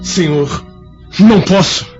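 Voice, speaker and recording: An actor playing a timid, tender-hearted young Roman slave in a radio drama, pleading and anguished. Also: male voice, sits high, takes it slowly, darkish tone, lo-fi sound, soft background music. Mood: anguished refusal.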